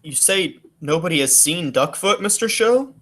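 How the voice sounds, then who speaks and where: A man's voice: high voice, lively intonation, normal speed, pushed and bright, exaggerated voice. A man playing an earnest, businesslike police detective in a 1940s radio play. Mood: intent, troubled. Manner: earnest, questioning, matter-of-fact.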